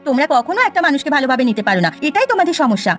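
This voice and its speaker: Woman voicing a high-pitched earnest young village wife. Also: very animated, racing, a bit bright, soft background music. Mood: earnest, defensive. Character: kind, trusting young village wife, quick to defend. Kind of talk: Bengali village cartoon voice acting.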